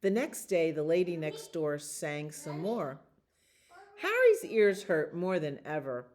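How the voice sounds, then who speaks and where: A woman reading a children's storybook aloud as a librarian at storytime, in an expressive, deliberate way that draws out repeated words. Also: sits low.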